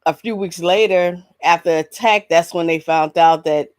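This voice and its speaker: A woman hosting a casual entertainment vlog, talking in a rambling, halting, informal way. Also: lowish voice, no echo, clean studio sound. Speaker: vlog host. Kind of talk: casual chat, entertainment gossip.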